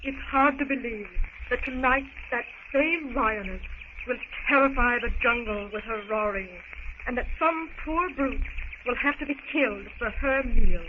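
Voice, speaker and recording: A woman's voice: fairly high pitch, a little slow, close to an everyday voice. An actress playing an educated young woman in a 1930s radio serial, speaking with careful, encouraging enunciation. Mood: wistful. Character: gentle, educated young woman teaching a wild man words. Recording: muffled, noisy 1930s radio recording.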